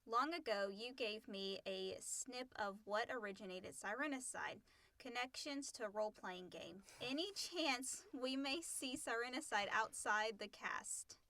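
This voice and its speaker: A woman's voice: on the high side, unhurried, pushed and bright. A woman co-hosting a casual podcast Q&A, reading listener questions aloud and chatting about them.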